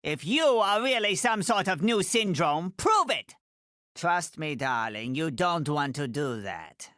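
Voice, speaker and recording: Game role: haughty little middle-aged woman designer, theatrical, wildly animated pitch, sharp bright tone. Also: measured pace, dry recording, clean studio sound, full character voice. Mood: goading, impatient. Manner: haughty, dramatic, clipped delivery with affectionate condescension. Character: tiny, imperious, theatrical middle-aged female fashion designer for superheroes. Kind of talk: video game character voice lines.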